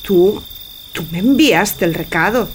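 A woman's voice: medium pitch, expressive, slow pace, a little hiss or hum. A woman playing a passionate young wife in a theatrical Spanish radio tragicomedy, speaking in lyrical, poetic declamation. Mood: ardent, dreamy.

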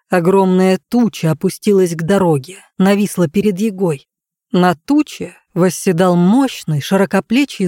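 Female audiobook narrator for fantasy tales: medium pitch, expressive scripted storytelling, no room echo. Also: clean studio sound.

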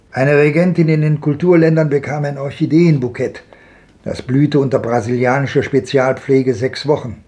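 Radio play casting: male actor as old wanderer, world-weary, dark mellow tone, medium pitch, lyrical declamation. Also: natural voice. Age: older. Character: world-weary old wanderer looking back on a hard life. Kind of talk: 1950s German radio drama acting.

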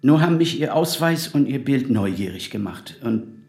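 A man acting a courteous, grave middle-aged exile in a naturalistic radio drama, gravelly and measured. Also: medium pitch, animated, darkish tone, echoey room. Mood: apologetic. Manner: polite, measured.

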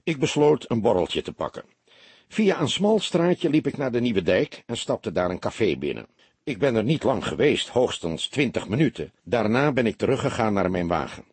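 Man playing an earnest businessman, expressive pitch. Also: normal speed, husky, dry recording. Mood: earnest, explaining. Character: earnest, round-faced businessman giving his account.

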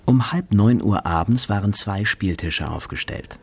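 An actor playing a composed narrator, reading scripted literary narration in a radio drama. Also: male voice, lowish voice, expressive, a little slow, a little mellow, a little hiss or hum.

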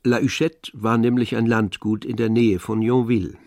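A man's voice: medium pitch, little pitch movement, normal speed, gravelly, a little mellow, no echo, studio quality. A man narrating a radio drama adapted from a novel in a scripted literary style.

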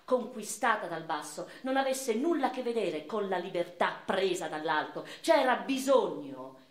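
An actress playing a weary, passionate Neapolitan noblewoman revolutionary, delivering an intense, theatrical radio monologue with deliberate pauses. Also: mid-range voice, lively intonation, lightly acted. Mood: proud, animated. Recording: roomy echo with music underneath.

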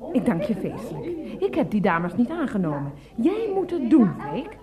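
A woman's voice: fairly high pitch, wildly swooping pitch, normal speed, crowd noise. An actress playing a domineering woman in a radio comedy drama, cutting, sarcastic and bossy. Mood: scornful, irritated.

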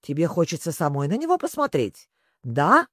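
A storyteller voicing a wistful, excitable sea turtle creature who talks in a plaintive, sobbing manner. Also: normal speed, no echo, clean studio sound. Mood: hopeful. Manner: sobbing, plaintive, breaking into squeaks and yells.

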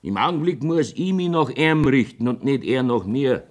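A man's voice: mid-range voice, animated, normal speed, natural voice. A jovial, evasive Bavarian village mayor played by a male actor blusters in earthy dialect. His mid-range voice swings widely up and down, in folksy radio play style. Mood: blustering.

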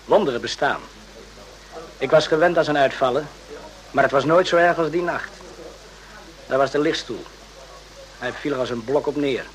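An actor playing an agitated, outraged soldier in an emphatic radio drama style, repeating himself and swearing. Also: male voice, mid-range voice, moderate pace, pauses often, lightly acted, rough recording. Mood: sombre, recollecting. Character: agitated, morally outraged soldier in the Vietnam War.

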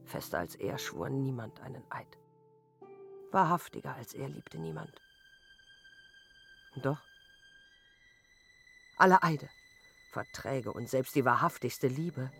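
Vengeful, grieving warrior wife, solemn fantasy radio play; sharp tone, light music underneath. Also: female voice, deep voice, exaggerated voice. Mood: grieving, reproachful. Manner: stern, solemn, declamatory. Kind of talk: fantasy radio drama acting.

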